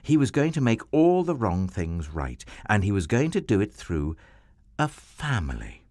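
Deep slow-paced storybook narrator man. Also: dry recording, clean studio sound. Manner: slow, expressive, sweeping storytelling.

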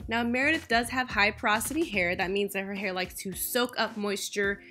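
A woman's voice: medium pitch, fairly quick, sharp tone. A woman vlogger narrating a salon visit in a casual, explanatory voice-over.

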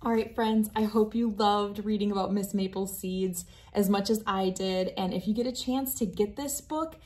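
A woman in her thirties reading a picture book aloud for children, with an expressive, deliberate storytelling delivery. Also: medium pitch.

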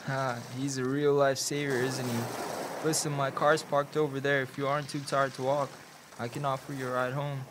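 A man playing a polite, helpful, casual stranger in an amateur radio play, with a measured, courteous delivery. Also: medium pitch, little pitch movement, natural voice. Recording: noisy radio play, clear background ambience.

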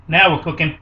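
A man, hosting a casual home cooking video in a small kitchen with energetic, hammy showman patter. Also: recorded from a distance. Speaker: cooking show host. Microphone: camera mic close by. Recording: dull lo-fi webcam audio from a bit off-mic.

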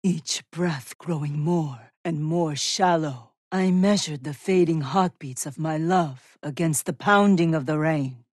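A woman's voice: sits low, unhurried, few pauses, darkish tone, no room sound, studio quality. An actress playing a vengeful demon witch and wronged mother in a video game, menacing and theatrical. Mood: grieving, bitter. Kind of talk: dramatic video game villain acting.

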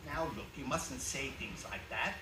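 A man's voice: mid-range voice, animated, normal speed, lightly acted. A man playing an eager, innocent, bumbling old guardian angel in a stage radio play, fussy and stammering. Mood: pleading. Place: small theatre stage before an audience. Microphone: far audience camera. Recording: roomy theatre, distant mic, clear background noise.

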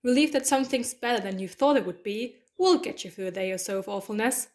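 A woman's voice: medium pitch, fast talker, a little mellow, close to an everyday voice. A woman playing a quirky, talkative, self-doubting alien time traveller in an audio drama, rambling eccentrically. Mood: earnest. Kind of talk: fan audio drama acting.

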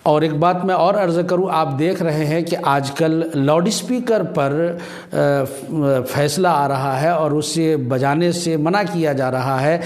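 A man in his thirties with a slightly high voice gives religious guidance. He speaks formally and explains each point in a measured way, like a cleric addressing his viewers. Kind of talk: religious advice talk to camera.

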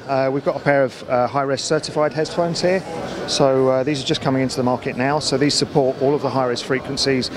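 A middle-aged man explaining products informally in a trade show interview. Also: mid-range voice. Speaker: company account manager presenting products. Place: large indoor exhibition hall. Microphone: handheld interview microphone. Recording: busy crowd noise behind. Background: trade show crowd hubbub.

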